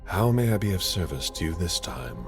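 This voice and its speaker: An actor playing a stern, protective and menacing vampire enforcer in a video game, speaking in a grave, commanding, gravelly way. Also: male voice, low voice, sing-song, a little fast, faint music behind. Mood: grave, loyal. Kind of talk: dramatic video game acting.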